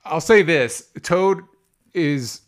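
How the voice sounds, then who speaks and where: A man's voice: on the high side, animated, drawn-out words. A man hosting a casual movie discussion show, speaking in a blunt, argumentative way with some swearing. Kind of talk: casual opinionated movie chat.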